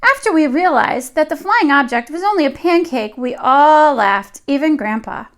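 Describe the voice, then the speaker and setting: A woman with a high voice reads a picture book aloud to young children. She sounds like a teacher at storytime, shaping each phrase with deliberate expression. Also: expressive, a bit bright.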